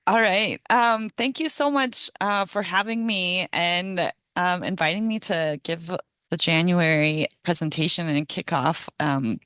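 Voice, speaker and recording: Hoarse woman giving a talk. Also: on the low side, measured pace, a bit bright, dry recording, studio quality. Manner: casual, chatty, laughs often, many ums. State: hoarse from a cold. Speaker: guest speaker, ecologist. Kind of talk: online meeting presentation.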